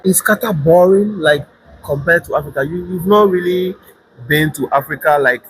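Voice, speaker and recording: A man's voice: fairly high pitch, moderate pace, fairly bright tone, low-quality recording. Man, casual interviewer, informal, teasing, faint background noise. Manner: informal, frequent backchannels, teasing.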